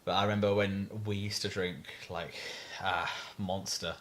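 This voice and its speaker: Low-voiced young man, halting. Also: flat delivery, a bit bright. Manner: halting, lots of fillers and restarts. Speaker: podcast co-host. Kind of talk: casual chat.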